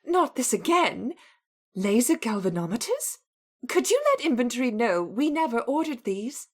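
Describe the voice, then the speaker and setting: An actress playing a stern, easily exasperated female laboratory scientist with a brisk, indignant manner in a video game. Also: on the high side, big pitch swings, slightly rough. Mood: puzzled, irritated.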